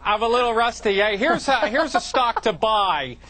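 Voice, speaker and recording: A man's voice: extremely high pitch, big pitch swings, moderate pace, a loud crowd. A man on a business TV panel laying out a stock pick point by point. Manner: earnest, listing points. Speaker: market panelist. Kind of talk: TV panel commentary.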